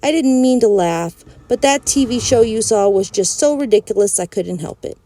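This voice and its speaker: A woman's voice: fairly high pitch, expressive, moderate pace, dark tone, lightly acted. A woman voicing a tired, kindly father who talks plainly.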